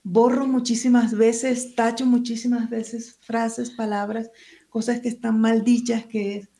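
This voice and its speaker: A woman's voice: on the high side, flat delivery, unhurried, mellow. A woman, a writer and teacher, who speaks Spanish as her first language, answering interview questions in a hesitant, searching way and reading from her book.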